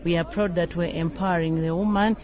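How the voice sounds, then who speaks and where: A woman's voice: lowish voice, fairly even intonation, a little fast, dull audio. A woman with a Ugandan accent giving formal interview answers as a management trainer.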